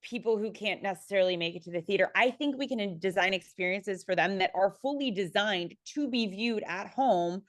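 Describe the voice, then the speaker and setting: A woman's voice: mid-range voice, fairly quick, hardly any pauses. A woman speaking conversationally as a panelist on a video call, often correcting herself and checking in with "right?".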